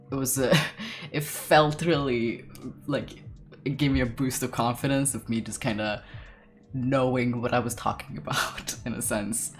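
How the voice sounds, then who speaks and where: A young man in his late teens with a deep voice, chatting casually on a livestream while drawing, rambling with lots of fillers, laughing often and swearing casually. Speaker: artist streamer.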